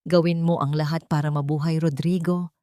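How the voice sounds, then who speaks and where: A woman's voice: sits low, little pitch movement, normal speed, dark tone, no room sound, clean studio sound, natural voice. A woman voicing a firm, loving, emotional woman in a dramatic audiobook. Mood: firm, tearful. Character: firm, loving woman holding back tears. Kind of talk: dramatic audiobook character reading.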